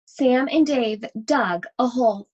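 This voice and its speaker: A woman teacher reading a picture book aloud to children, expressive and speaking directly to her young listeners. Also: a little high, slow pace, lo-fi sound. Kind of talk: children's read-aloud with chatty asides.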